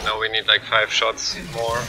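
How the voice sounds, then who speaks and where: A man's voice: on the low side, completely flat delivery, measured pace, very noisy. A man giving casual video game commentary as he plays, with non-native English phrasing. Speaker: video game streamer doing a showcase playthrough. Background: game music and game sounds.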